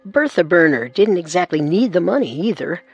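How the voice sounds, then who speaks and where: Man, high pitch, earnest true-crime narrator, soft music bed. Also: very animated, normal speed, creaky, slightly rough, muffled recording. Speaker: narrator and co-host.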